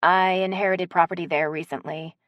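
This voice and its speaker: A woman voicing a polite, soft-spoken young woman from the city in an audiobook. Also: fairly low pitch, fairly even intonation, a little fast, dry recording, muffled recording, close to an everyday voice.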